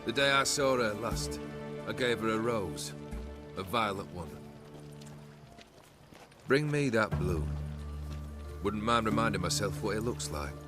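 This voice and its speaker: An actor playing a proud, brooding noble outlaw leader in a video game, speaking in a haughty, deliberate way. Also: male voice, lowish voice, lively intonation. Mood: wistful. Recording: game audio under loud music.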